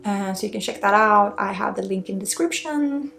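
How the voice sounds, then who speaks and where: A woman's voice: medium pitch, normal speed, vocal fry, a bit bright. A woman with a Swedish accent leading an unscripted ASMR spiritual healing session in a soothing, informal, reassuring way. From Sweden. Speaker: energy healer and ASMR host. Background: faint music.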